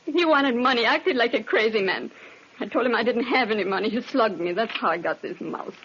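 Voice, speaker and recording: An actress playing a defensive, frightened young woman under police questioning in an old radio drama, curt and husky, on a muffled recording. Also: on the high side, fairly quick, a bit bright, slight background noise, close to an everyday voice. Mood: nervous, sullen. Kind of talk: 1950s radio drama acting.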